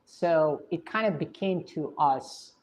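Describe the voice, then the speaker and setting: A woman with a low, deep voice speaks with a Russian accent softened by British English. She answers viewer questions in a casual livestream, thinking aloud with frequent pauses and restarts. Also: rough recording. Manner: thoughtful, hesitant, many fillers and restarts.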